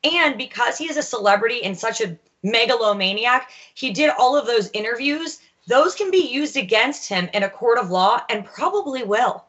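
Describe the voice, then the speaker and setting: A woman with a bright, forceful and fairly high voice speaks on a talk show panel. She lays out her points firmly, one step at a time. The audio is slightly muffled and lo-fi. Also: lively intonation, moderate pace, hardly any pauses. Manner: firm, explains the case step by step.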